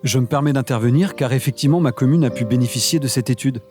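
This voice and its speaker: A man voicing a polite local elected official and proud father in an animated explainer. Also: mid-range voice, soft background music, close to an everyday voice. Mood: formal. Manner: polite, formal, measured. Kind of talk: scripted cartoon dialogue.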